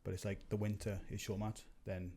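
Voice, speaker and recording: A man's voice: lowish voice, level tone, mellow, slight background noise. A Welsh man from Mid Wales chatting plainly as an interview guest, with a joking streak. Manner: brisk, plain-spoken, jokey. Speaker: guest bowls player. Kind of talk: casual interview chat.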